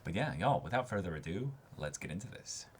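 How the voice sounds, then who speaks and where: A man with a low-pitched voice hosts a casual reaction discussion. He talks with enthusiasm, using slangy and animated phrasing. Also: brisk, slight background noise. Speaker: host of a reaction and discussion show.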